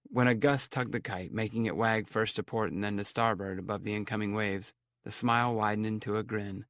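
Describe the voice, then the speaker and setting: A man's voice: fairly low pitch, steady tone, brisk, fairly bright tone, dry recording, studio quality. A man reading scripted first-person story narration as an audiobook narrator.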